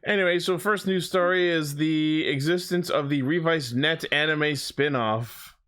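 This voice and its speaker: A man hosting a casual fan podcast. His voice sits a little high and sounds very clear and smooth as he steers the chat conversationally. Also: unhurried, a bit bright. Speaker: podcast host.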